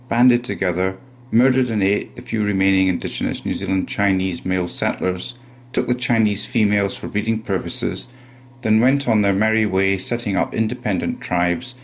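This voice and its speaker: Male narrator, low voice, gravelly fry, scripted, sardonic delivery. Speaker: channel narrator.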